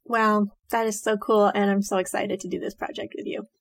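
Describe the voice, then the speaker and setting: Young woman co-host, earnest and upbeat, mid-pitched. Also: level tone, fairly quick. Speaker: podcast co-host, storyteller. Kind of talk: casual podcast hosting.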